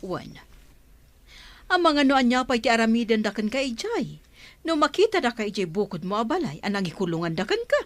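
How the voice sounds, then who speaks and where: A woman's voice: a little high, very animated, fairly quick, no echo. A woman acting a stern, protective aunt in an audio drama, with a firm, commanding manner. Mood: concerned, indignant. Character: stern, protective aunt taking charge against wrongdoers. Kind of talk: Ilocano radio drama acting.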